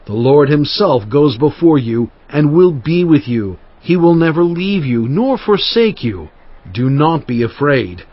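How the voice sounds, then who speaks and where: An aged prophet urges his people with earnest, emphatic conviction. His voice is husky and sits fairly high, over faint music on a dull recording. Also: animated, a little slow. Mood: exhorting. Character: Moses, aged male prophet and leader. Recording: muffled lo-fi audio with faint music.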